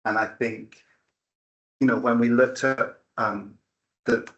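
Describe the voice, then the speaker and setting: A man talks about writing fiction on a book-event panel, measured and reflective in manner. His voice sits at an ordinary middle pitch for a man. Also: fairly even intonation, dull audio. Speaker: novelist.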